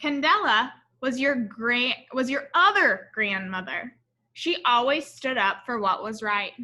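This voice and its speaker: Woman reading a loving storybook father, bright-voiced. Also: on the high side, animated, unhurried. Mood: fond, reflective. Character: loving father telling family stories in a storybook.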